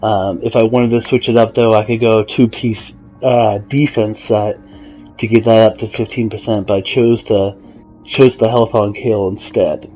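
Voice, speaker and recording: A man giving a casual, explanatory game walkthrough in a measured, somewhat halting way. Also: lowish voice, steady tone, mellow, poor audio. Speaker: gamer presenting a character build guide. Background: music.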